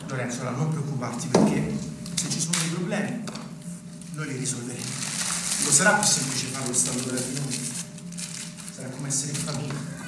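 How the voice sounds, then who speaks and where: An actor plays a smug, talkative provincial man in a live stage play, holding forth for local independence with prodding little questions. He is heard from far off in a big echo, under loud noise. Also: medium pitch, steady tone, a little fast, pauses often, natural voice. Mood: smug, preachy. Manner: rhetorical, prodding with tag questions. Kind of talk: live stage theatre acting. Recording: very echoey, distant, noisy stage recording.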